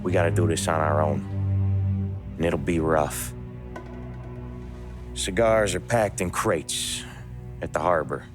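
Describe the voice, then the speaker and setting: Very deep, deadpan American mobster. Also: male voice. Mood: calm, scheming. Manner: measured, deadpan, matter-of-fact. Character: cool, pragmatic, wry veteran mobster man. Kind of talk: video game cutscene acting. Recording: loud music behind the voice.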